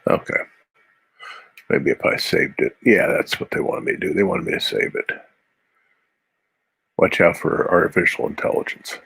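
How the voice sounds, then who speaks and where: A man's voice: deep voice, fairly even intonation, normal speed, gravelly, long pauses, fairly bright tone, slightly rough, dry recording. A man with a Midwestern accent, a comic artist chatting casually and muttering to himself as he draws on a live stream. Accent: Midwestern American. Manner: mutters to himself between strokes, rambling asides. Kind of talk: casual live-stream commentary while drawing.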